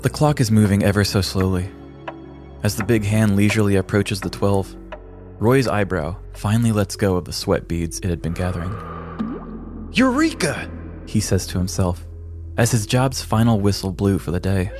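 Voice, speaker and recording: A man hosting a podcast, telling a comic story in a wry, deadpan scripted narration. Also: fairly low pitch, moderate pace, over music.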